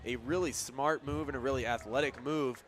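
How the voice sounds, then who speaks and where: A man's voice: medium pitch, moderate pace. Male baseball color analyst, mid-range voice, conversational, explanatory, live radio broadcast, noticeable outdoor stadium noise. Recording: clearly noisy outdoor stadium background. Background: outdoor ballpark ambience.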